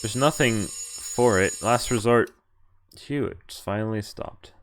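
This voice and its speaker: A man's voice: fairly low pitch, slow, a little hiss or hum. A man streaming a visual novel, reading the game text aloud in a half-acted way and breaking off for wry, casual asides.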